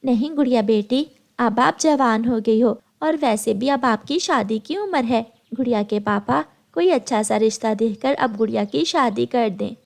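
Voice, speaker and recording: A woman's voice: on the high side, animated, normal speed, creaky. A woman voicing a firm, protective mother bird in a cartoon moral story, speaking insistently.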